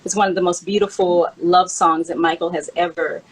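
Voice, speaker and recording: A woman's voice: fairly low pitch, fairly even intonation, fairly quick, low-quality recording. A woman hosting a casual livestream chat in an enthusiastic, chatty way. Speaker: host. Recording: clear background room noise.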